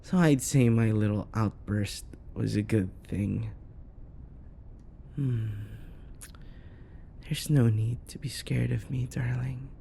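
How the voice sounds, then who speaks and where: A man playing an unhinged, possessive yandere predator lover in ASMR roleplay, often slipping into breathy whispers and a menacing croon. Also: medium pitch, takes it slowly, pauses often, warm tone, a little hiss or hum. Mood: soothing, possessive.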